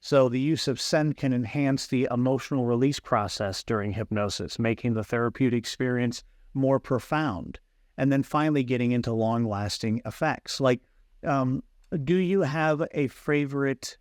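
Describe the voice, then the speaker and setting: A man's voice: mid-range voice, moderate pace, darkish tone, dry recording, clean studio sound. A man guest explaining fragrance hypnosis in an earnest, teacherly way, with frequent restarts, over a video call. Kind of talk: explanatory interview talk.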